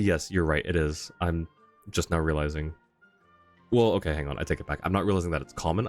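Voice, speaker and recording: A man's voice: deep voice, a little mellow. A man doing casual, chatty livestream commentary on a game, reacting and replying to his chat. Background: faint game music.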